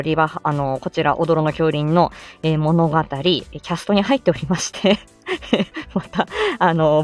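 Giggly, effusive woman chatting over faint music. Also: fairly low pitch. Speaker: livestream host and amateur voice actor. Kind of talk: casual chat with listeners.

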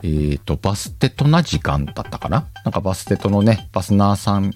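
Deep-voiced man with lively intonation. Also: brisk. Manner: chatty, self-mocking, reads letters aloud with frequent fillers. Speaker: podcast host reading listener letters. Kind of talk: casual solo radio-style chat. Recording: faint background music.

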